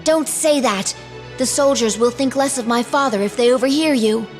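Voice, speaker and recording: Fantasy game heroine: actress as proud young princess, high voice, formal and dutiful, music behind. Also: female voice, moderate pace, lightly acted. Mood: earnest, guarded. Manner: formal, earnest. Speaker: voice actor in a fantasy video game. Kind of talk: dramatic game dub acting.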